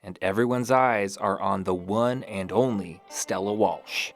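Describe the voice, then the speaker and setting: A man's voice: fairly low pitch, measured pace, soft background music. A man hosting a history podcast, reading scripted narration with dramatic, playful storytelling. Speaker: podcast host.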